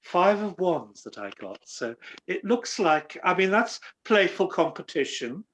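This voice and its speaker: A woman's voice: fairly low pitch, a bit bright, low-quality recording. A woman chatting casually on a video call in an apologetic, self-deprecating way. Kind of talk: casual chat.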